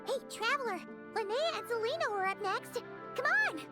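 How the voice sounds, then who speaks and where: A woman voicing a small, excitable, childlike companion in a video game, cheering in a squeaky, bubbly, exclamatory way. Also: moderate pace, music in the background. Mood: excited cheering. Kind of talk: animated video game voice acting.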